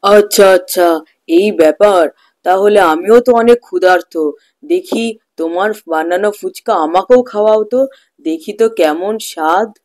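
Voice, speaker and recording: A woman's voice: medium pitch, normal speed, darkish tone, dry recording, pitched below the performer's own voice, natural voice. A woman voicing a kindly, solemn male forest sage in a children's cartoon, giving fatherly advice in repeated phrases. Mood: kindly, reassuring. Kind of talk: children's cartoon fairy tale.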